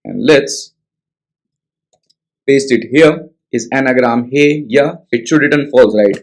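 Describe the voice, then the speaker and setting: A man's voice: mid-range voice, slow. A young man with an Indian English accent walking through a coding tutorial, talking casually and checking in with frequent tag questions. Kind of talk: screen-share coding tutorial.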